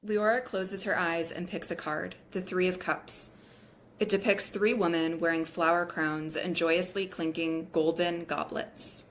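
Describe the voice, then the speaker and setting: Low-voiced woman narrating, some background noise. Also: steady tone, measured pace, a bit bright. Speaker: narrator of stage directions. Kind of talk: scripted narration at a table read.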